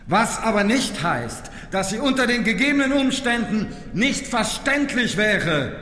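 An actor playing a fiery far-right French party secretary giving a declamatory rally speech in a radio drama. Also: male voice, high-pitched, very animated, a little slow, sharp tone, soft background music, full character voice. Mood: measured. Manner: declamatory, rhetorical repetition. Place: large hall. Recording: echoing reverberant hall with faint noise.

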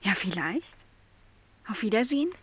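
An actress playing a lonely young housemaid in a literary radio play, speaking earnestly, politely and with some hesitation. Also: female voice, medium pitch, expressive, moderate pace, slight background noise, natural voice. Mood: polite, reluctant. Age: young adult.

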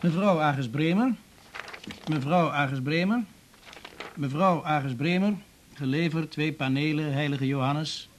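An actor playing a matter-of-fact police detective who explains things methodically in a radio drama. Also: male voice, a little high, measured pace, pauses often, a little hiss or hum, lightly acted. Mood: official, explanatory. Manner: methodical, official. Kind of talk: Dutch radio drama acting.

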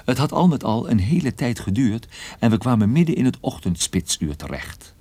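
An actor playing a wry, brisk private detective on the run in a radio drama, telling and acting the story with dry understatement. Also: male voice, mid-range voice, sing-song, dark tone, no echo. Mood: wry, matter-of-fact. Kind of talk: radio drama narration and dialogue.

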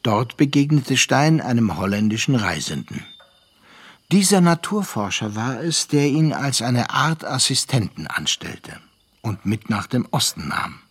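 Unhurried male radio play narrator. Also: medium pitch, big pitch swings, husky. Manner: measured, storytelling.

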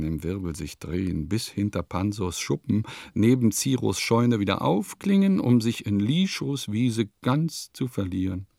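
An actor playing an eccentric village composer, declaiming lists, notes and diary entries in a scripted radio play. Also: male voice, on the low side, creaky, darkish tone, no room sound, clean studio sound, exaggerated voice. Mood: rapturous.

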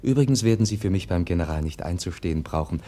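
An actor in a radio play playing a gentle, naive young prince, courteous, candid and earnest in his speech. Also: sits low, expressive, fast. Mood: calm, candid. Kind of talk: 1950s German radio play acting.

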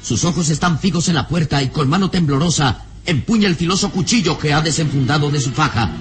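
An actor as the storyteller of an adventure radio serial, narrating in a theatrical, suspenseful, carefully enunciated way. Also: male voice, a little high, brisk, faint music behind, natural voice. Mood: tense.

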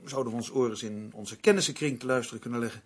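Actor as troubled male crime writer in radio drama; husky, serious, a touch defensive, medium-pitched. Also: very fast, lightly acted. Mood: worried. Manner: hesitant, earnest, defensive. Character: uneasy, earnest male crime novelist, easily riled.

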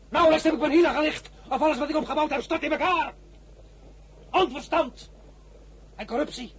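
Volatile, commanding general. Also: male voice, extremely high pitch, heavily acted. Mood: furious. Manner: commanding, abrupt. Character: imperious, volatile young French general on campaign. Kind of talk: radio drama acting.

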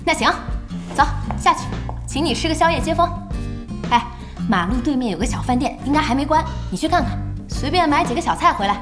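An actress in a Chinese audio drama playing a brisk, bossy young woman who runs an internet cafe, speaking directly. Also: high voice, animated. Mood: bossy, casual. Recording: music and crowd sounds behind.

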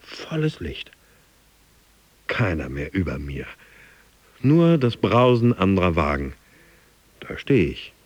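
Husky, swaggering deep-voiced gym fanatic. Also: male voice, a little mellow, no echo, highs cut off, heavily acted. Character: driven, boastful male gym fanatic dreaming of his boss and America. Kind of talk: radio drama interior monologue.

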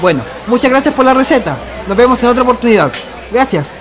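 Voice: medium pitch, expressive, fast, natural voice. An actor playing a polite, eager interviewer in a scripted radio drama. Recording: music and crowd noise behind.

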